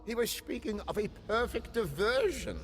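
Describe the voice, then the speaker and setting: A man's voice: sits high, very animated, normal speed, long reverb tail, slight background noise. A man playing a frantic, self-justifying government scientist in a video game cutscene, with a wordy, theatrical, agitated manner. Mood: anxious explaining. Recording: loud music behind.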